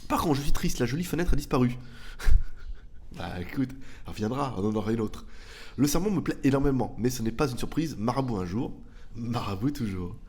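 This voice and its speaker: Male host with a head cold, mid-pitched, rambling casual slangy chat. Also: lively intonation, fairly quick, a little mellow. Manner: rambling, loose and chatty, with slang and swearing. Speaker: YouTube tech channel host answering viewer questions.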